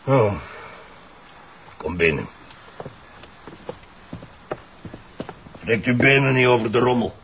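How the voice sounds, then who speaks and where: An actor playing a bitter, scornful, middle-aged Flemish widower in a TV crime drama, curt and husky. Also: male voice, medium pitch, animated, normal speed, a bit bright, highs cut off, slight background noise. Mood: curt, guarded.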